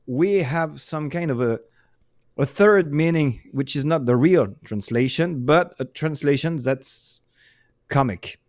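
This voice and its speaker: A middle-aged man with a French accent, a musician guest chatting casually and often pausing to search for English words. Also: a little high, dry recording, clean studio sound. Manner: halting, many restarts and fillers, searching for English words. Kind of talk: casual interview chat.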